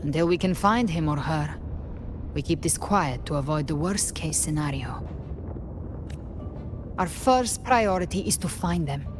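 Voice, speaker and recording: An actress playing a cool, guarded avenger in a video game, speaking in a grave, measured, secretive manner. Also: female voice, deep voice, background noise. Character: cool, guarded female avenger and monster hunter. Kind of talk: scripted video game voice acting.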